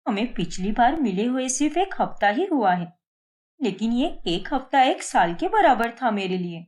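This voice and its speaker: A woman's voice: a little high, very animated, normal speed, darkish tone. Woman putting on a clingy, petulant young girlfriend. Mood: gushing. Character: pampered, possessive young girlfriend, impatient and clingy. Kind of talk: Hindi cartoon moral-story voice acting.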